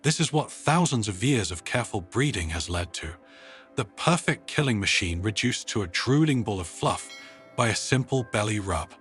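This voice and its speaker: A man's voice: on the low side, lively intonation, moderate pace, a bit bright, soft background music. A man voicing an upbeat, wry woman giving a showy public presentation in a narrated story. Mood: playful. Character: upbeat, wry woman showing her pet dog.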